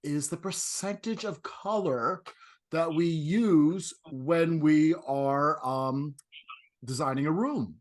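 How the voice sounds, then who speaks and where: Guy hosting a design chat over video call, kinda high voice, keeps restarting sentences. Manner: chatty, halting, with frequent restarts and laughs. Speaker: host and interior designer. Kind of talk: casual video-call interview.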